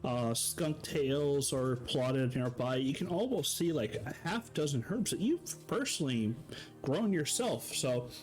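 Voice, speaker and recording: A man narrating and running a tabletop game in a relaxed, descriptive way. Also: medium pitch, measured pace, faint music behind. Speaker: game master.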